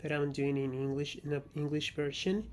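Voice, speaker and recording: A man with a Spanish accent speaks as an oracle card reader addressing viewers. His delivery is flat in pitch and halting. He often searches for words, as Spanish is his first language. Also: slight background noise. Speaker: tarot and oracle reader, channel host. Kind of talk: informal spoken introduction to an oracle card reading.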